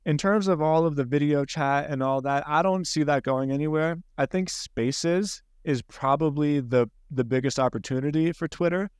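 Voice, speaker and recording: A man's voice: on the high side, moderate pace, few pauses, clean tone, no room sound, studio quality. A man with a Philadelphia accent, hosting a podcast in casual, rambling conversation with frequent restarts. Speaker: podcast host.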